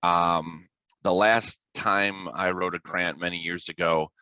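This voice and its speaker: A man's voice: low-pitched, fairly even intonation, slow, pushed and bright, clean tone, no room sound, dull audio. An American man talking casually as a podcast guest, explaining his dispatch work in an informal, plain-spoken way. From Illinois, United States. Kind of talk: casual explanatory interview talk with anecdotes.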